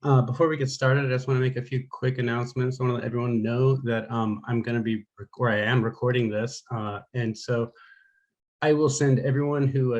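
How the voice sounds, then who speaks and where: A man's voice: medium pitch, a little mellow, muffled recording. A young adult man, a museum programs manager hosting a webinar over a video call, talking casually with many fillers.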